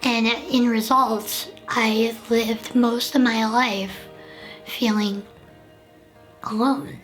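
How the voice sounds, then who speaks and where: Young woman, fairly high voice, earnest candid documentary narration. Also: fairly even intonation, very slow, fairly bright tone, soft background music, rough recording. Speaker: documentary host with the condition. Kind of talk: personal documentary narration and interviewing.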